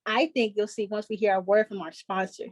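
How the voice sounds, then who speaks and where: A woman's voice: medium pitch, steady tone, a little fast, dry recording, clean studio sound, lightly acted. A woman playing a chipper 1940s radio show host, giving scripted announcements in a showy, presentational way. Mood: showy, upbeat.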